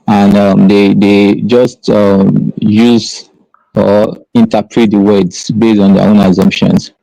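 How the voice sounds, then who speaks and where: A man's voice: on the low side, little pitch movement, takes it slowly, gravelly, dark tone, no echo, low-quality recording. A man defending his reading of scripture in a live debate, talking hesitantly and restarting often. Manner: hesitant, many fillers and restarts.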